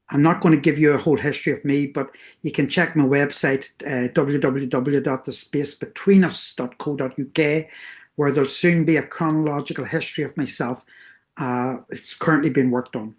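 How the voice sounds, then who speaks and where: Earnest Northern Irish presenter. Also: medium pitch. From Northern Ireland. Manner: earnest, explanatory, self-correcting. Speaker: therapist presenting doctoral research. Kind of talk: academic workshop presentation.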